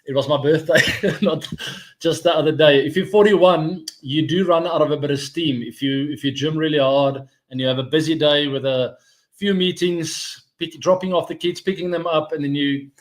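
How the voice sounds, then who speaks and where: A man's voice: fairly high pitch, fairly even intonation, moderate pace. A man in his forties, hosting a live cricket stream in a chatty, rambling, upbeat way, thinking aloud as he goes. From South Africa. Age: 40s. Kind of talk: casual live-stream chat.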